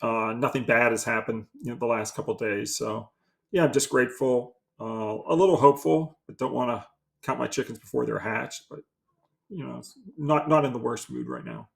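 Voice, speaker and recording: Handicapper guest, male, mid-pitched, gritty fry in the voice, unhurried and self-effacing. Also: steady tone. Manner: halting, modest, many fillers. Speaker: sports handicapper. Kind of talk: casual interview talk.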